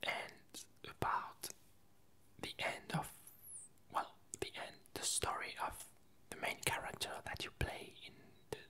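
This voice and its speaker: Slow, hushed ASMR whisper. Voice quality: soft breathy whisper, almost entirely unvoiced. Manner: halting, unhurried, searching for words. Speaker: ASMR video creator. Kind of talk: whispered ASMR ramble about games and films.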